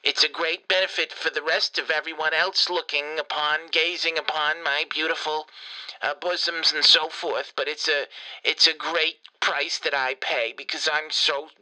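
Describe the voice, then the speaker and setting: A man's voice: fairly high pitch, flat delivery, normal speed, sharp tone, poor audio. A man voicing a rambling, vain, sunburned elderly grandmother who leaves a bossy phone message, stammering through restarts and cursing in exasperation. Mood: self-pitying. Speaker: comedian doing a character voicemail. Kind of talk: improvised comedic phone message.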